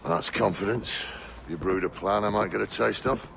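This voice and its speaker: An actor playing a bitter, hot-tempered pirate captain in a video game, with a gravelly voice and a blunt, scornful, cursing manner. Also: male voice, lowish voice, moderate pace. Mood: gruff, scheming. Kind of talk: video game character acting. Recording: noisy game ambience behind voice.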